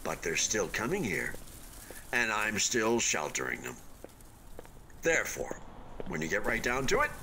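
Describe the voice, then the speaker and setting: Male actor as an unfinished hotel building, radio drama, slow-paced, wistful, earnest, hesitant musing, faint background noise. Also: on the low side, very animated, bright, forceful tone, full character voice. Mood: earnest, defensive. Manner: chatty, hesitant, earnestly philosophical. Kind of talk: radio play acting, conversational monologue.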